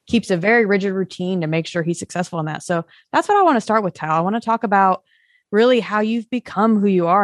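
A woman's voice: on the low side, no echo. Upbeat woman host, dry sound. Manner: enthusiastic, frequent "I love it". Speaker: podcast host. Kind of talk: upbeat interview hosting.

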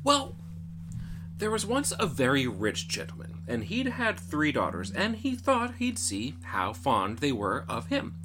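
A man's voice: a little high, huge pitch swings, unhurried, noisy background. A man reading a fairy tale as a storyteller in a theatrical, folksy manner.

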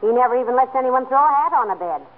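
An actress playing a patient, wisecracking wife, dry and gently teasing, in a 1940s radio comedy. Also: female voice, high voice, lively intonation, rapid-fire, narrow, tinny audio. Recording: thin, noisy 1940s radio broadcast.

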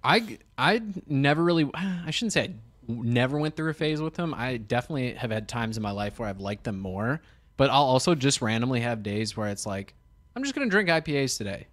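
Brief: young male co-host, relaxed banter, pokes fun at himself. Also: mid-range voice, a little fast. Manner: easygoing, self-deprecating banter. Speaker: podcast co-host. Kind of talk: casual chat over beers.

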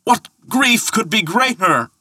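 A man voicing a booming, hot-tempered and credulous thunder god in a mythological tale, bellowing and boasting indignantly. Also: animated, moderate pace, sharp tone, no room sound, clean studio sound. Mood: shocked grief. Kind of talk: dramatic mythological storytelling.

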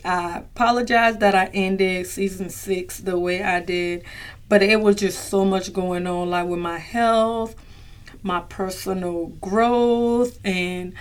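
Chatty woman hosting her own podcast. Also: mid-range voice. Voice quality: voice slightly strained from recent voice loss. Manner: chatty and heartfelt, many fillers, addresses listeners as y'all. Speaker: podcast host. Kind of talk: casual solo podcast talk.

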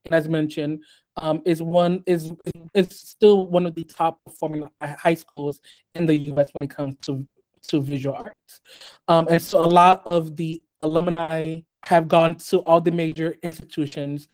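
Guy on a podcast, haiti-born, raised in the us, mellow voice, lots of ums, starts over a lot. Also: on the high side, level tone, rough recording. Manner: measured, many ums and restarts. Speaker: guest artist. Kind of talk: podcast interview.

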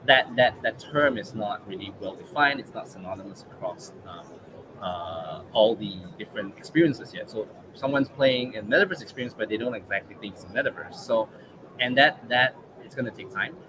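A man from Malaysia with a Malaysian English accent, a guest in a casual interview, relaxed and thinking aloud with many fillers. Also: lowish voice, moderate pace, noisy background. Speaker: guest, regional manager.

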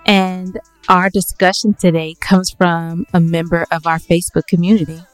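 A woman's voice: lowish voice, little pitch movement, moderate pace, no room sound, soft background music. A woman co-hosting a podcast in a casual, playful, teasing way. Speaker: podcast co-host. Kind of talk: casual banter between co-hosts.

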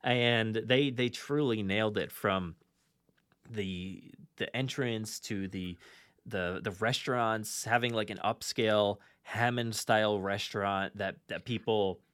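A man with a fairly low voice hosts a casual fan podcast. He is enthusiastic, yet he circles back and repeats phrases as he goes. The sound is very dry, with no room around it. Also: measured pace, a bit bright, clean tone, no echo. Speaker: podcast host. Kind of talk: casual fan chat, rambling countdown.

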